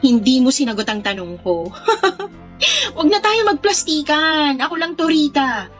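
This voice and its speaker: Pushy young filipina friend, high swoopy voice, slangy radio drama sweet-talk. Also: female voice, very animated, unhurried, fairly bright tone, soft background music, exaggerated voice. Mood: persuasive, teasing. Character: brazen, persuasive young woman pushing her friend into paid dating.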